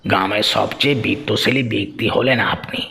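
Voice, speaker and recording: A man's voice: lowish voice, a little fast, gravelly, sharp tone, slight background noise. A man voicing a deferential, scheming village man in a cartoon, hesitant and insinuating. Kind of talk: cartoon dialogue acting.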